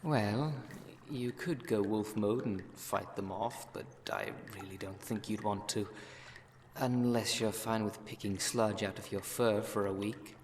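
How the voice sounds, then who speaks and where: A man acting a brisk, wry city witch in an ASMR roleplay audio drama, talking in a dry, instructive way. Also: on the low side, slight background noise. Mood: dry, explanatory.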